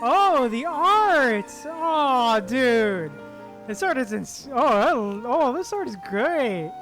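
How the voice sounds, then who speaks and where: Excitable high-voiced woman streaming a game. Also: takes it slowly. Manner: excitable, exclamatory, informal. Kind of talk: casual gameplay commentary. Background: game music.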